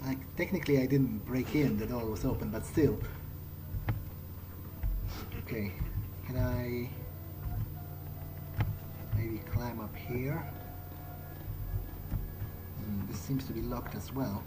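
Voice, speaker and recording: A man narrates his video game playthrough in character, thinking out loud at a slow pace. His voice sits at a middling pitch, and his delivery is hesitant and wryly understated. Game music plays clearly behind him. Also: poor audio. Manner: hesitant, musing aloud, wry understatement. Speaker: let's play gamer. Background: video game soundtrack.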